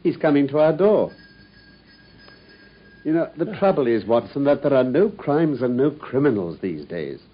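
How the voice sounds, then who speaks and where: An actor playing a brilliant, self-assured detective in a radio play, precise and lightly condescending, on a dull lo-fi broadcast recording. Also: male voice, mid-range voice, big pitch swings, normal speed, pauses often, breathy edge. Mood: restless. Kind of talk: 1950s-style BBC radio drama acting.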